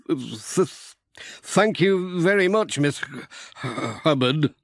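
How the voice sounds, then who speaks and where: A man's voice: on the high side, slow pace, no room sound, clean studio sound, pitched above the performer's own voice. A man voicing a kindly, polite village postman who is easily flustered, in a children's storybook.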